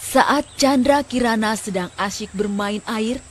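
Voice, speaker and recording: A woman's voice: fairly high pitch, a little slow, slight background noise. A young woman narrating a scripted children's folk tale as storyteller. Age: young adult. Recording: music under the narration.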